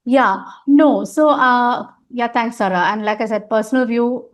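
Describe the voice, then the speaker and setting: A woman's voice: a little high, a little slow. A middle-aged woman, a guest in a candid interview, talking at length with emphasis and frequent fillers. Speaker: interview guest, nonprofit governance advisor.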